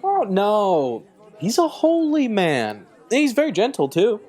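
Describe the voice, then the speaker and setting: A gossipy, easygoing guard, acted by a woman chats with hugely varied pitch. She is wry and casual, in modern-sounding audio-play style. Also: huge pitch swings, slow, a little mellow, full character voice.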